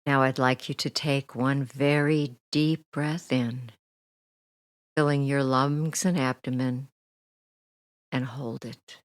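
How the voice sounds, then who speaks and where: A woman leading a guided meditation as a hypnotherapist, speaking soothingly and gently with deliberate pauses, her soft phrases trailing off toward a near whisper. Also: rumbling low, fairly even intonation, no echo, clean studio sound. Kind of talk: guided meditation, relaxation script.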